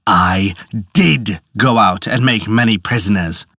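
A man voicing a stern, suspicious male turtle chief who talks slowly and deeply. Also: pushed and bright, dry recording, studio quality, heavily acted. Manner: slow, deep and commanding.

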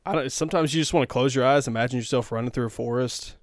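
A man's voice: medium pitch, very fast, dry recording, clean studio sound. A man co-hosting a podcast in casual chat, teasing and joking with plenty of swearing. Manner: joking, profane, teasing sidekick. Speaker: podcast co-host.

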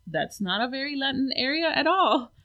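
A woman's voice: high-pitched, animated, moderate pace, narrow, tinny audio. A woman in her forties, born in the United States, talking reflectively as an interview guest with many ums. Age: 40s. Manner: reflective, with frequent fillers. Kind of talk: casual interview chat.

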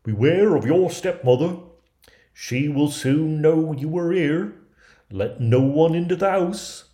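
A small, kindly, fussy dwarf from a storybook , acted out by a man. The voice is pitched well up and speaks in emphatic exclamations. The recording is roomy and echoing. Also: lively intonation, slow pace, warm tone. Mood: kindly, cautioning. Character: small male dwarves of the mines, fussy, astonished, kindly. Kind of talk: fairy tale character voices.

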